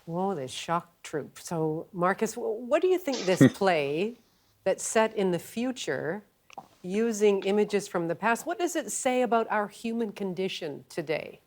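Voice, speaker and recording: A woman's voice: medium pitch, animated, a little slow, a little mellow. A woman hosting a broadcast interview, conversational, engaged and curious. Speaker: interviewer, host.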